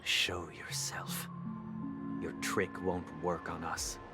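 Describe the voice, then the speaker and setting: A calm, guarded leader in a video game. His voice is deep, his delivery formal and controlled. Music sounds clearly underneath. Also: slow pace. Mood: stern. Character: composed, authoritative male leader, calm and guarded.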